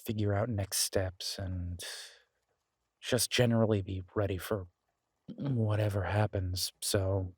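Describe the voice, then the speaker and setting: Easygoing, bashful werewolf biker played by a male actor, lowish voice, casual hesitant delivery, dry close intimate audio-drama sound. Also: steady tone, takes it slowly, a little mellow, husky, dry recording. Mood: reassuring, casual. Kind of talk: intimate roleplay audio drama, one-sided conversation.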